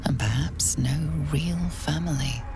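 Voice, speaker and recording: Low, creaky, hushed game narrator. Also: female voice, a little slow, background noise. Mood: hushed, ominous.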